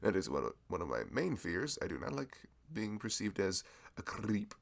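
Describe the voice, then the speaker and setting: A man's voice: on the low side, big pitch swings, moderate pace, creaky, heavily acted. A man playing a sweet, chatty young florist with a crush in an audio roleplay, talking in a flustered, self-deprecating ramble. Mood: relieved, friendly. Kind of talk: casual ASMR-style audio roleplay spoken to the listener.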